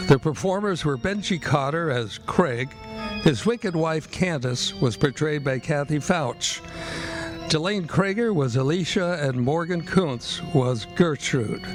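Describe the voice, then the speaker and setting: Higher-pitched male radio announcer over music. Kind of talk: scripted radio play credits. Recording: music behind, live stage broadcast.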